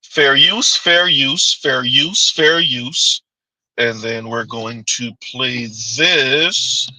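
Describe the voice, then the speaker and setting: A man's voice: mid-range voice, extremely slow, sharp tone, no echo, low-quality recording. A man hosting a livestream, giving casual commentary, reading chat aloud and laughing often as he answers viewers. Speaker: livestream host.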